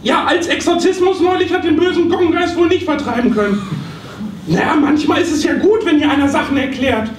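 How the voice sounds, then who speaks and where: A man's voice: extremely high pitch, brisk. A man playing a gossipy, flustered female receptionist on the phone in a comic live stage radio play, exclaiming and dithering. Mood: exasperated. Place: small theatre stage. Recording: echoey, noisy live stage from far off.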